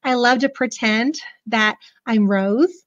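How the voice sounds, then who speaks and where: A hoarse woman in her forties, chatting bubbly and gushing to viewers as she shows a children's book on a webcam. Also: on the high side, slow pace. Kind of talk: casual live book show-and-tell. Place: small room indoors, decorated backdrop. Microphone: webcam at desk. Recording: dull lo-fi webcam audio.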